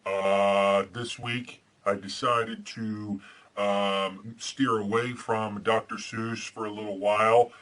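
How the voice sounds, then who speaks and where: Man as bright-voiced deadpan superhero storyteller. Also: fairly low pitch, pitched below the performer's own voice. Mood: chatty, curious. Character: Batman, earnest masked superhero reading storybooks aloud. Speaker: storyteller and host. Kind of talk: casual chat and storybook read-aloud.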